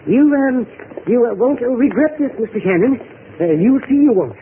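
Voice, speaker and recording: High-voiced, fawning, nervous little fellow. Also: male voice, animated, moderate pace. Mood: nervous, ingratiating. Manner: stammering, effusive, chuckling. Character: nervous, fawning, giggly homebody passenger. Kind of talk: old-time radio drama acting. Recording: tinny noisy old radio recording.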